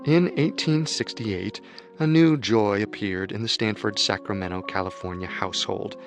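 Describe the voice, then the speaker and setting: Calm male documentary narrator. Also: on the low side, normal speed, over music. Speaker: narrator and co-host.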